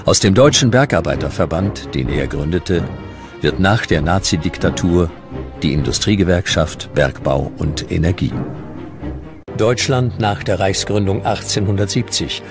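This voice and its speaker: A man's voice: sits low, animated, a little slow. A man reading scripted narration for a historical documentary. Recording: music bed under the voice. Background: background music.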